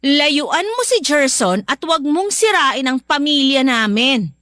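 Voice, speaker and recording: A high-voiced, theatrical drama woman, speaking emphatically with big swoops of pitch. The delivery is radio drama, recorded close and dry. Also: very animated, takes it slowly, a bit bright, no room sound, full character voice. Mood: indignant, pleading. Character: indignant estranged wife, pleading fiercely; also teasing coworker.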